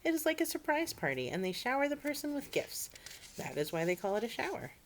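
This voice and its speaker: Storybook husband read by a woman, genial and brisk, politely giving instructions and explanations. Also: high voice, sing-song, a little hiss or hum, voice pushed up. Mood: explaining. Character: brisk, genial man of the house.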